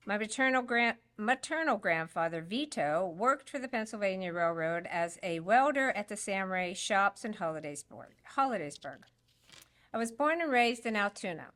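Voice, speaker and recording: Woman reading a statement, steady and measured. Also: mid-range voice. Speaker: stand-in reading a candidate's statement. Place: public forum hall.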